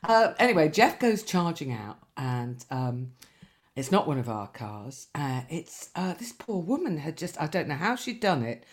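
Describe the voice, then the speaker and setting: A woman's voice: low voice, big pitch swings, unhurried. A woman, a podcast co-host telling rambling, sweary anecdotes in casual chat, her nose slightly stuffy.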